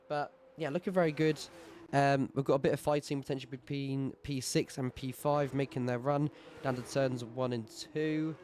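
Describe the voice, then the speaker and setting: A man with a sore throat giving brisk live play-by-play commentary on a sim race, rising in excitement at overtakes. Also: mid-range voice, slight background noise.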